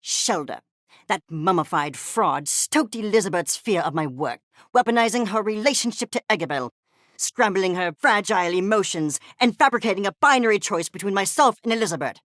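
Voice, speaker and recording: A woman plays an obsessive little creature of science for a video game. The delivery is pompous and clipped, with exact academic diction and lively swings in pitch. The temper is coldly curious and scornful, heard in a dry, close studio sound. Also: moderate pace, no room sound, lightly acted. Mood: disdainful, irritated. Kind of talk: video game character voice lines.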